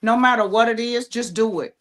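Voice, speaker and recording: A woman with a slightly high voice talks casually as a playwright guest. She is plain-spoken and encouraging in how she puts things. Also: fairly quick, fairly bright tone. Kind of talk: casual chat.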